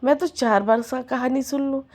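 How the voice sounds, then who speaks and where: A firm, pious mother-in-law lays down the law in a devotional folk tale, as a hoarse woman storyteller puts her on. The voice is slightly high and worn. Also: steady tone, normal speed, a little mellow. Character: stern then pious mother-in-law, middle-aged.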